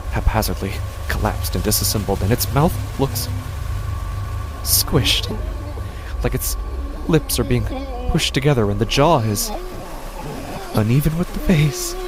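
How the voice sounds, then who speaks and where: A man giving a tense, hushed first-person reading of a horror story. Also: medium pitch, expressive, unhurried, noisy background. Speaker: narrator.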